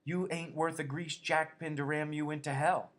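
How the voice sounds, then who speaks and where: A man's voice: fairly high pitch, little pitch movement, moderate pace, fairly bright tone, pitched above the performer's own voice, lightly acted. A man voicing a giant imaginary rabbit that taunts and sneers with scorn. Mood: scornful.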